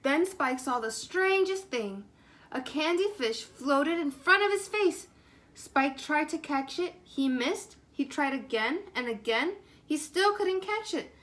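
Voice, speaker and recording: A woman's voice: high voice, expressive, unhurried, fairly bright tone. A woman in her twenties reading a children's story aloud with expression. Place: room at home, indoors.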